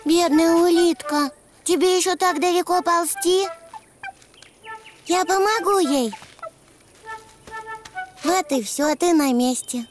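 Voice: extremely high pitch, slow, pauses often, music in the background. A performer voicing a kind, cheerful little donkey boy in a preschool cartoon, speaking simply and earnestly in a sing-song way. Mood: sympathetic.

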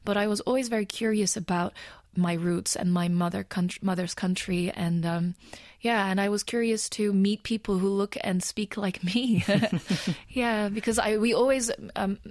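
A woman's voice: medium pitch, fairly even intonation, darkish tone, no room sound. Woman guest in a dry studio. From Netherlands. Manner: thoughtful, with many pauses and "um"s. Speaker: guest, musical actor. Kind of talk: radio interview. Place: radio studio. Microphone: studio microphone on a stand.

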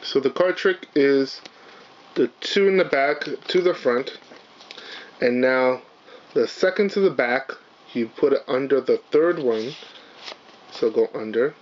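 Man host, hoarse and raspy, chatty with fillers, mid-pitched, dull lo-fi camera sound with faint hiss. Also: animated, unhurried, pauses often. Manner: chatty, apologetic, lots of fillers. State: hoarse, partly lost voice. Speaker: channel host, card unboxer. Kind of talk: casual unboxing vlog. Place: indoors at a table, camera on the cards.

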